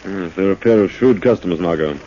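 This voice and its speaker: An actor playing a composed, shrewd man-about-town sleuth in a 1940s radio drama, with a measured, dry, educated delivery. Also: low-pitched, warm tone, natural voice. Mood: cool, wary. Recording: muffled, hissy 1940s radio recording.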